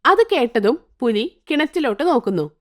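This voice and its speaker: A woman narrating a cartoon moral story in an expressive, sing-song way. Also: high voice, normal speed.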